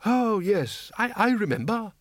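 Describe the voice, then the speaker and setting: A man's voice: sits high, sing-song, a little slow, dry recording, clean studio sound, voice pushed up. A man voicing a mild, fretful undead male wizard who speaks politely and hesitantly.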